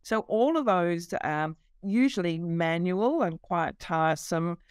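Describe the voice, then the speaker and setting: A woman's voice: fairly low pitch, expressive, slow, darkish tone, clean tone, no echo, studio quality. A middle-aged woman, a company CEO, explaining her business over a video call, fluent but with many fillers. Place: office.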